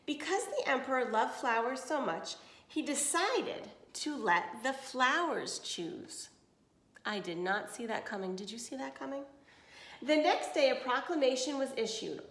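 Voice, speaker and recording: A woman reading a children's story aloud with expression, pausing to explain and put questions to her listeners. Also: sits high, big pitch swings, a bit bright, roomy sound. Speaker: teacher reading a picture book aloud.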